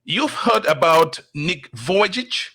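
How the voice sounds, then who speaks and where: A male host delivers a motivational opening at an unhurried, deliberate pace. His voice sits a little high and has a bright edge. He leans on key words for emphasis. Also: big pitch swings. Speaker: talk show host. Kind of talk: motivational monologue and interview.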